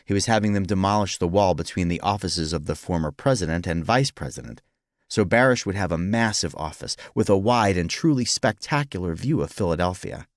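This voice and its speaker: Male audiobook narrator, deep, dry close sound, scripted dramatic storytelling. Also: animated, brisk, no echo.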